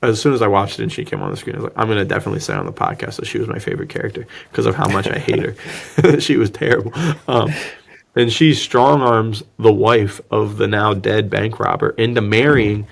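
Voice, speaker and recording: A man's voice: lowish voice, steady tone, fairly quick, vocal fry. A man chatting casually and jokingly as a podcast host, recapping a film in slangy, loose language. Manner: slangy, jokey, acts out dialogue mockingly. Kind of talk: casual movie recap chat.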